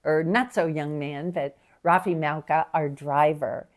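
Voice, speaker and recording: A woman's voice: deep voice, fairly even intonation, unhurried. An older woman co-hosting a travel ministry show, chatty and upbeat. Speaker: co-host. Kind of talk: casual co-hosting. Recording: faint music behind.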